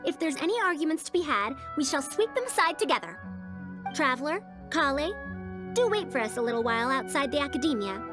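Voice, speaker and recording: Game role: self-assured academic woman, high and animated, formal old-fashioned wording. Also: brisk, music in the background. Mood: brisk, confident. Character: confident, headstrong, scholarly young-looking female academic. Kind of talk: voiced game character dialogue.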